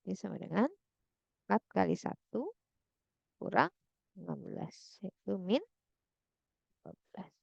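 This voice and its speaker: A woman talks slowly through a math problem, thinking aloud and checking in with her listeners. Her voice is heavily gravelly with vocal fry. The recording is dull and lo-fi, close and dry. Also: medium pitch, animated, darkish tone, dry recording. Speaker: math tutor. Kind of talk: slow worked-example tutoring.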